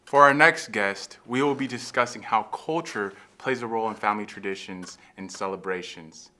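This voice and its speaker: A man's voice: fairly low pitch, moderate pace, bright, forceful tone, faint music behind. A young man hosting a student interview, asking questions and cutting in with short, upbeat replies. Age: young adult.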